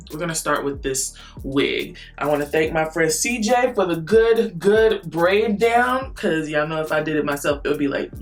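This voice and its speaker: Woman vlogger, freshly awake, husky low morning tone, chatty and rambling, light music behind. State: just woke up, low morning voice. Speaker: beauty vlogger. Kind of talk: casual chat to camera.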